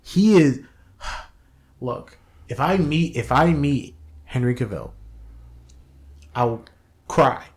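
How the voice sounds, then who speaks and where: A man co-hosting a casual podcast chat, speaking excitably and repeating phrases for emphasis. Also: medium pitch, very slow, pauses often. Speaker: podcast co-host. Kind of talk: casual chat about games and movies.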